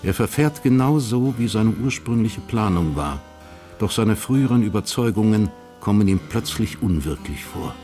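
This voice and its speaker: A man with a husky voice narrating a radio drama in a sober, matter-of-fact way. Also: on the low side, expressive, moderate pace, mellow, faint music behind.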